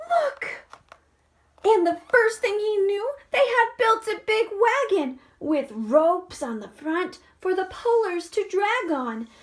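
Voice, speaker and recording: A woman, a first grade teacher, reading a storybook expressively to young children, with dramatic delivery and hushed asides. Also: extremely high pitch. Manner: animated, dramatic, drops into hushed whispered asides.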